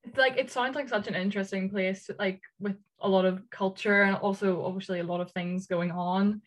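A woman hosts an interview, her voice sitting at an ordinary middle pitch. She talks in a relaxed, chatty way, easing into each question with small fillers. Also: moderate pace. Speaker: podcast host. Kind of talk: casual interview chat.

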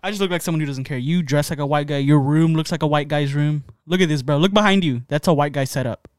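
A young man streaming casual chat, teasing his friend with jokes and loud swings in volume. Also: fairly high pitch, fast, clear, resonant tone, dry recording, clean studio sound.

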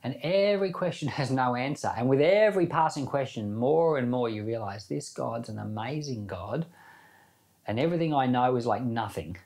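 A man's voice: mid-range voice, animated, normal speed, darkish tone. A man giving an informal sermon as a Bible teacher, reading scripture and commenting in a conversational way with rhetorical questions.

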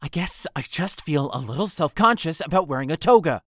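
A man's voice: a little high, lively intonation, a little fast, fairly bright tone, no echo. Man acting insecure lovesick young guy, hesitant, voice swings up and down a lot, dry close recording, audio drama. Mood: self-conscious. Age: young adult.

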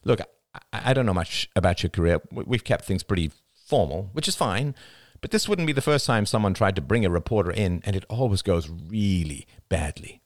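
A man's voice: low voice, expressive, brisk, dry recording, studio quality. A man playing an intense, insistent husband and father in dramatic audiobook dialogue. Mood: reluctant.

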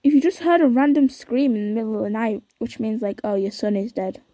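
A woman's voice: a little high, brisk, dark tone, dry recording. Chatty young woman podcast host. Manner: chatty, unsure, many fillers. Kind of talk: casual podcast chat, reading aloud.

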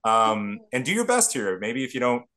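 A man's voice: mid-range voice, very animated, normal speed, bright, forceful tone. A Canadian man co-hosting a podcast, speaking with enthusiasm and frequent restarts. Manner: enthusiastic, repeats words as he sets up a question. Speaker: podcast co-host. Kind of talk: casual podcast chat.

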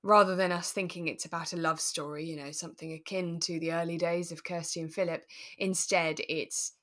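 Low-pitched woman, chatting. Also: fairly even intonation, brisk, a bit bright. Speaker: podcast co-host. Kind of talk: relaxed casual chat reviewing a radio drama.

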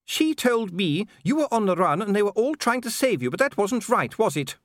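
Aristocratic lady, played by a man: high-pitched, polite, earnest, storybook fantasy. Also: sing-song, normal speed, no room sound, studio quality. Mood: bewildered. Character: bewildered, well-bred aristocratic married woman, politely earnest.

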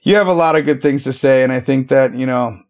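A man hosts a casual podcast chat in an easygoing, rambling way. His voice sits at an ordinary middle pitch, and he swears now and then without fuss. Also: fast talker. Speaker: podcast host.

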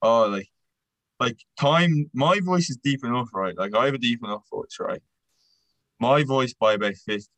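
A man's voice: medium pitch, normal speed, a bit bright, dry recording, low-quality recording. A young man co-hosting a podcast in loose, sweary casual chat. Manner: loose and sweary, laughs often. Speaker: podcast co-host.

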